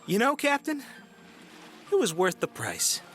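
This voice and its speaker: A man's voice: very high-pitched, wildly swooping pitch, measured pace, a bit bright, faint music behind, exaggerated voice. A man playing a hot-headed, unrepentant pirate crewman in an audio drama. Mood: cheeky deference.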